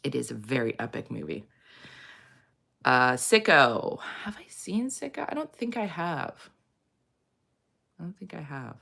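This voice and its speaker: A woman hosting a casual chat, rambling with many fillers, in a low morning voice. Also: lively intonation, unhurried, gravelly, pauses often, bright, forceful tone. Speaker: host. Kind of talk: casual chat reading viewer comments.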